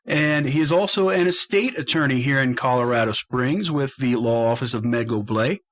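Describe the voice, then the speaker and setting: A man's voice: medium pitch, normal speed, a bit bright. A man hosting a radio show in a casual chat style.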